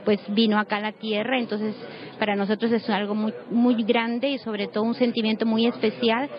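A woman's voice: fairly high pitch, level tone, normal speed, highs cut off, crowd noise. A woman from Peru, a pilgrim, answering thoughtfully with some hesitation. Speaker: pilgrim interviewed.

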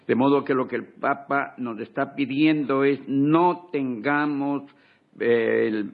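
A man's voice: lowish voice, creaky. A man from Ecuador, an ambassador, speaking fervently in a radio interview with repeated rhetorical emphasis. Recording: tinny phone line.

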